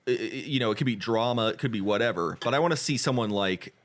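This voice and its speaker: A man co-hosting a podcast in casual chat, rambling and halting with many fillers and restarts. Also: mid-range voice. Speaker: podcast co-host.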